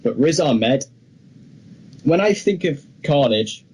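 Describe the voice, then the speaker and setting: A man's voice: fairly high pitch, expressive, slow pace, a little mellow, breathy edge, rough recording. A young man co-hosting a film podcast, talking casually and giving his opinions freely. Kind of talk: casual discussion of film news.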